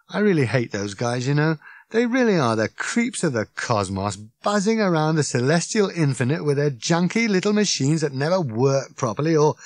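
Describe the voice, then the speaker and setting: A man voicing an excitable, fierce, rambling alien man who rants loudly and indignantly. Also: a little high, dry recording, pitched above the performer's own voice. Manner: loud, indignant ranting.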